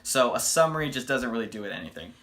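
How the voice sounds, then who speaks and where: Male comic book reviewer, mid-pitched and forceful, casual and enthusiastic delivery with emphatic phrasing. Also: animated, fast talker.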